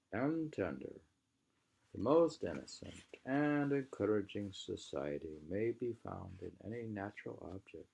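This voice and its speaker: An American man reading a literary book aloud in an unhurried, musing manner, often pausing to repeat or mull over phrases. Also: on the low side, gravelly, a little mellow, husky, poor audio. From New England, United States. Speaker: reader.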